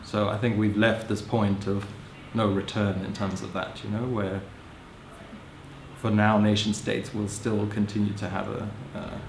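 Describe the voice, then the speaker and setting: Low gravelly man in a roomy space. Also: flat delivery, a little slow, slight background noise. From Hong Kong. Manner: thoughtful, musing, many "you know"s, soft laughs. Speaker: interviewee, social anthropologist. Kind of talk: reflective personal account. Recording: roomy echo.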